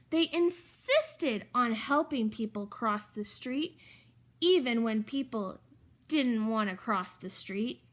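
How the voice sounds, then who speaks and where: A woman reading a children's story aloud in an expressive, emphatic way, with chatty asides to the listeners. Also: sits high. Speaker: storybook reader.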